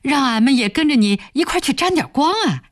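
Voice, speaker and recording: A woman's voice: sits high, animated, brisk, pitched above the performer's own voice. Woman playing a brash, teasing village sister-in-law. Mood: laughing scolding. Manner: mock-scolding, rustic. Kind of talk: audiobook character voice.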